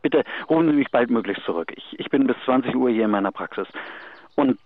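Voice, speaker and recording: Actor as formal doctor on tinny phone line. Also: male voice, mid-range voice, lively intonation, dry recording, natural voice. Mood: polite, concerned. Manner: polite, measured, concerned. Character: formal, concerned male doctor leaving a message for a patient's wife. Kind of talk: German radio drama acting. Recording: dry, tinny telephone line.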